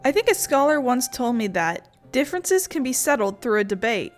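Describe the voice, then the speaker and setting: A woman playing a calm, reasonable young traveler in a video game, speaking in a measured way. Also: a little high, soft background music. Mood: thoughtful.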